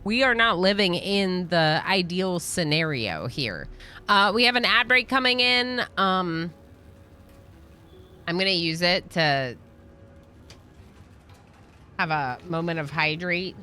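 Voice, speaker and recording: A woman's voice: lowish voice, expressive, slow pace, pauses often, pushed and bright, clean tone. A woman live-streaming a game, chatting casually with viewers as she plays and reads along. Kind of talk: casual live-stream chat while playing a role-playing game.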